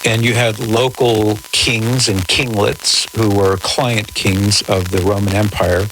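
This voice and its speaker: A man hosting a radio call-in show, answering in a measured, articulate, conversational way with formal wording. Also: on the low side, little pitch movement, fairly bright tone. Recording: noisy radio broadcast with static.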